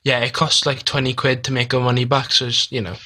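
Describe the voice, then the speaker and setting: A man's voice: medium pitch, little pitch movement, fast talker, bright, forceful tone, breathy edge. A young man co-hosting a podcast, chatting casually and a little hesitantly. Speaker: podcast co-host. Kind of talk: casual chat.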